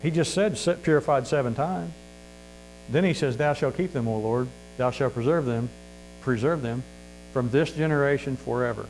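A man with a Missouri accent delivers a sermon in a folksy, earnest manner, stumbling through fillers and restarts. His pitch sits mid-range for a man. A faint electrical hum runs under the recording. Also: moderate pace, darkish tone, low-quality recording. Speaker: preacher.

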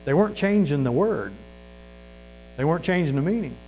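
A man's voice: on the high side, lively intonation, fairly quick, darkish tone, low-quality recording. A man with a Missouri accent preaching a sermon in a folksy, earnest way, full of fillers and restarts. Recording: faint mains hum.

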